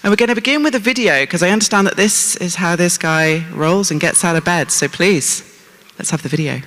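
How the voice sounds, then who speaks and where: A woman's voice: fairly low pitch, a little fast, fairly bright tone, a little hiss or hum. A middle-aged woman hosting from a large auditorium stage, introducing things to an audience on a handheld microphone. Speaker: congress host. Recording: echoey hall PA sound.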